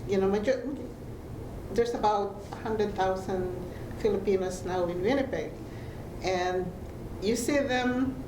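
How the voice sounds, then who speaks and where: Older woman interviewer, deliberate questioner, roomy distant sound with background noise. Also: mid-range voice. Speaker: host. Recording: echoey room, distant mic, noise.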